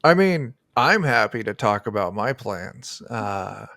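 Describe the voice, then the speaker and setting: A man's voice: lowish voice, sing-song, slow pace, gravelly, sharp tone, dry recording, studio quality. A middle-aged man, a guest game designer, chatting casually in an interview on a video call. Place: indoor room, on a video call.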